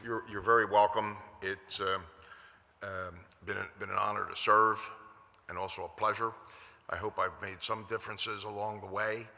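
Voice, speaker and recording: An older man chairing a city council hearing, speaking loudly and haltingly with many fillers and repeated words. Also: fairly low pitch, flat delivery, vocal fry. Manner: loud voice. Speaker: committee chair. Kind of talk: closing remarks at a public hearing. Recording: echoey council chamber with long reverb.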